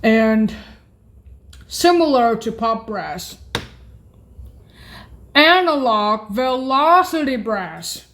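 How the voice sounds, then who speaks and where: Very slow, high-voiced woman presenter. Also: animated, fairly bright tone, slight background noise. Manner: halting, listing items in short phrases. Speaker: presenter demonstrating keyboard sounds. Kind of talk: naming instrument presets. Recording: roomy echo, keyboard music.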